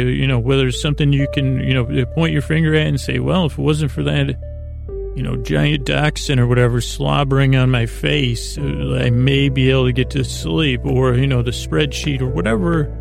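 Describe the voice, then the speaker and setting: A man hosting a sleep podcast, talking in a meandering, soft-spoken way full of fillers and restarts. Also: mid-range voice, vocal fry. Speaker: podcast host. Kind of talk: rambling sleep podcast intro.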